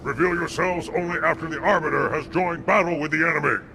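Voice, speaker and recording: An actor playing a loyal alien soldier who speaks in a crisp, military way in a game cutscene. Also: male voice, a little high, steady tone, quick pace, noisy background. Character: eager male alien soldier, loyal and fierce.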